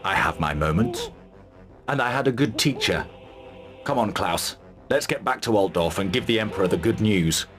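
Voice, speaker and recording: A man's voice: lowish voice, animated, moderate pace, music in the background, natural voice. A man playing a battle-hardened, fearless commander in a fantasy game, speaking tersely with dry resolve. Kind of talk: dramatic game cutscene acting.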